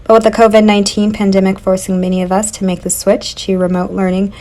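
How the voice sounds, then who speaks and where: A woman narrates a news segment in a mellow, warm tone. Her reading is even and informative. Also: mid-range voice, fast talker. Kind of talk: scripted news voice-over.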